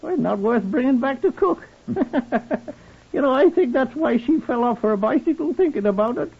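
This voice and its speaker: An actor playing a garrulous, simple country handyman with a rural English accent and a husky voice, in an old radio drama. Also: very high-pitched, animated, moderate pace, full character voice. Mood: chatty. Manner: rambling, chuckling, deferential. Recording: tinny, band-limited old radio recording.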